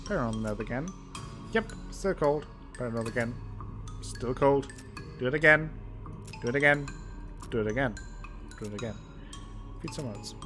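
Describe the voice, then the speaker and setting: Male let's-player, playful, reads game text aloud, steady middle pitch, music bed. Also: moderate pace, pauses often. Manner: playful, reads narration with exaggerated pitch swings. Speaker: gameplay streamer. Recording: music playing underneath.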